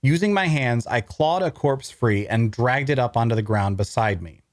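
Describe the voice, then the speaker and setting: A wry necromancer tells his own tale , played by a man, in a medium-pitched male voice. The narration is dramatic scripted fantasy prose, captured close and dry. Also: lively intonation, moderate pace, dry recording, lightly acted. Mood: urgent, grim. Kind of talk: first-person scripted narration at a table read.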